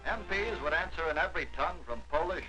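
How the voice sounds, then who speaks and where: A man narrating a 1940s wartime documentary in a measured, declamatory newsreel manner. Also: a little high, expressive, music in the background, rough recording.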